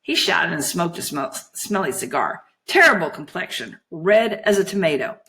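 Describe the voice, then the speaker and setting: A woman's voice: on the low side, lively intonation, moderate pace, pushed and bright. A woman voicing a flighty, sulky teenage girl in a storybook, chatty and slangy, gushing one moment and petulant the next.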